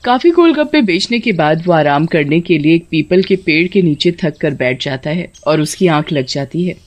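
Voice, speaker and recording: A woman's voice: low voice, animated, normal speed. A woman storyteller reading scripted narration for a tale.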